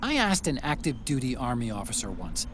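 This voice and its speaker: A talkative, earnest engineer sidekick. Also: male voice, mid-range voice, brisk. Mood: curious. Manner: talkative, earnest, gets excitable. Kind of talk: video game cutscene acting. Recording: game audio with clear background noise.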